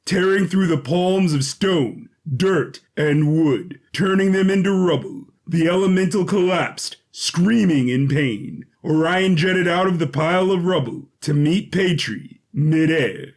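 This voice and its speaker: Male narrator for an adventure drama, fairly high voice, scripted and dramatic. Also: measured pace, hardly any pauses, darkish tone.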